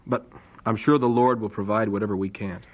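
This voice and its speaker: A man's voice: fairly low pitch, fairly even intonation, brisk, close to an everyday voice. Man playing earnest young American missionary husband. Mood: earnest, gentle. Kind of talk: radio drama acting.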